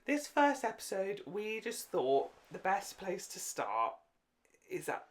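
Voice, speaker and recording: A woman's voice: medium pitch, wildly swooping pitch, takes it slowly. A woman with a British accent co-hosting a casual podcast, chatting in a playful, jokey and opinionated way. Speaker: podcast co-host.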